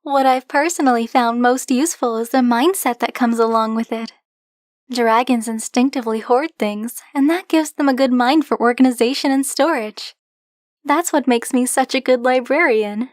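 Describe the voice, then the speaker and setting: A woman acts out a young dragon-blooded woman librarian with a high voice, recorded very dry and close. She is coy, teasing and possessive, talking straight to the listener as in an ASMR roleplay. Her delivery is conversational, candid and openly fond. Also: no room sound, lightly acted.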